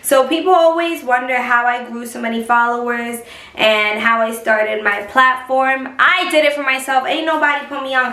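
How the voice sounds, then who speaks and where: Chatty young woman with a bright voice. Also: echoey room. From New York. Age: 20s. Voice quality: high, squeaky voice. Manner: chatty, frank, slangy. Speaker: vlogger. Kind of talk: casual talk to camera.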